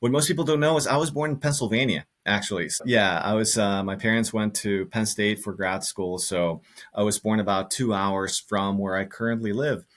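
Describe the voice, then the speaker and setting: Middle-aged male podcast guest, Costa Rican-raised, fairly deep register, sincere, thinking out loud. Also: moderate pace. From Costa Rica. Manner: earnest, thinks aloud with repeated words. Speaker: podcast guest, engineering professor. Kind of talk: reflective conversational interview.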